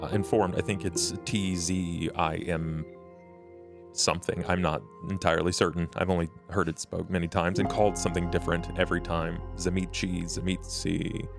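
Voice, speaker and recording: A man playing a grave, cautious, protective vampire mentor in a tabletop game, speaking in a formal, deliberate way. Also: sits low, little pitch movement, gravelly, music in the background. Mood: thoughtful. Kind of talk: in-character tabletop roleplay.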